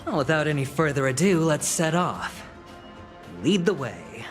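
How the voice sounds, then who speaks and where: A man's voice: fairly high pitch, big pitch swings, measured pace. An actor playing a smug, scheming young male gambler in a video game, with a theatrical, teasing delivery. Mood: sly, theatrical. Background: game music.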